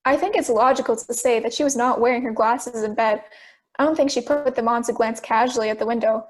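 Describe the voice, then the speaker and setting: Young woman playing calm persistent female juror, high-pitched voice, deliberate, questioning, amateur audio drama. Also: lightly acted. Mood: reasoning. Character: calm, persistent dissenting juror who reasons step by step.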